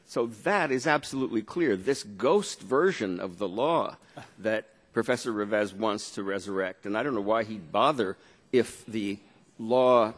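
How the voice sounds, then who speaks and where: The scholar at the witness table of an echoing committee chamber, a man pressing his point emphatically in a somewhat low voice. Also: measured pace. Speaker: law professor testifying as expert witness. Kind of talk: formal hearing testimony. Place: legislative hearing room. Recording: long reverb of a hearing room.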